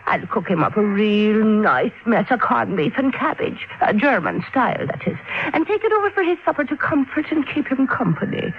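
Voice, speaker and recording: A woman's voice: a little high, very animated, normal speed. An actress playing a feisty, indignant working-class woman who scolds in folksy, ungrammatical speech, in old-time radio style. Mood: scolding, huffy. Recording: noisy narrowband old radio recording.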